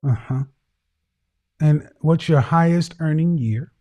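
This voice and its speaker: Blunt lecturing man, higher voice. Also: slow pace, warm tone. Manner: harsh, lecturing, repeats phrases. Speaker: host. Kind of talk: blunt call-in advice.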